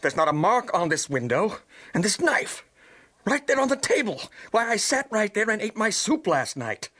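A man playing a gruff, weary frontier lawman and husband with a growling, brusque manner in a children's audio drama. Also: sits high, sing-song, normal speed, bright, forceful tone, exaggerated voice. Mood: baffled.

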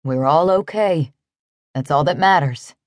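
A woman voicing a kind, easygoing cowboy with a calm drawl in an audiobook story. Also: low-pitched, measured pace, no room sound, studio quality, pitched below the performer's own voice. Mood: kind, concerned.

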